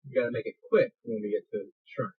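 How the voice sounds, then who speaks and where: A man's voice: mid-range voice, fast talker, slightly rough. A man taking part in a tabletop game as a player, talking casually. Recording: thin phone-like sound.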